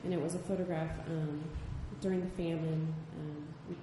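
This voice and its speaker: A woman with a low, soft-spoken voice talks hesitantly, pausing on frequent ums. The room around her rings with strong echo. A steady hiss of background noise sits under her speech. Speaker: photojournalist on a panel. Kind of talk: panel discussion answer. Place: gallery event space. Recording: echoey room, far mic, background noise.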